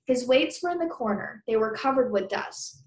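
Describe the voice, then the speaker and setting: A woman teacher reading a children's story aloud, pausing with conversational explanations and questions for pupils. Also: on the high side, lively intonation, echoey room, a little hiss or hum.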